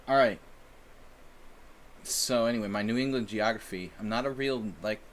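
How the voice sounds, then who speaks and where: A man's voice: fairly low pitch, moderate pace. A man from the United States streaming chess and chatting casually with viewers in a rambling, self-deprecating way. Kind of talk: casual live-stream chat.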